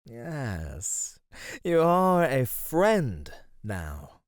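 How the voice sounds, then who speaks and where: Male actor as sly immortal god, low-pitched, lively swooping intonation, slow, teasing, flirty, coaxing, close dry ASMR roleplay. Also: dry recording. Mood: pleased, coaxing.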